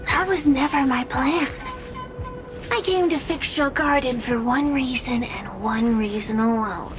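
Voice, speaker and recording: A woman's voice: sits high, measured pace. A woman voicing a scheming, theatrical spirit villain in a video game, with a mocking, drawling delivery. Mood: smug, menacing. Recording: loud music behind.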